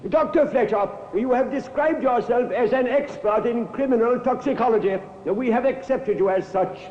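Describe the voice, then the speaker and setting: A very high-pitched defense barrister, courteous and persuasive, speaking in measured, formal phrases. The sound is an old, muffled radio drama with long courtroom reverb. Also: male voice, slight background noise. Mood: formal, probing. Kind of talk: 1950s radio drama acting. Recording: muffled echoey 1950s radio recording.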